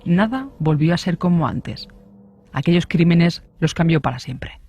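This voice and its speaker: A man's voice: on the high side, animated, a little slow, a little mellow, slightly rough, soft background music. A man reading a scripted true-crime radio narration.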